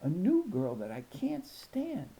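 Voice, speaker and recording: An insecure young farm girl from a children's storybook, acted out by a man reader. The voice is pushed higher and rises and falls a lot. She protests in a plaintive, upset way. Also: wildly swooping pitch, slow pace, creaky, warm tone, heavily acted, low-quality recording. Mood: defensive. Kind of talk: storybook character reading.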